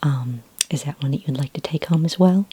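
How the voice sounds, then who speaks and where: Breathy, whispering woman, low and mellow. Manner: gentle, unhurried, helpful customer-service patter. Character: friendly, patient sci-fi librarian woman. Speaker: ASMR roleplay performer as a library clerk. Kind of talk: soft-spoken ASMR roleplay. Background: computer keyboard typing.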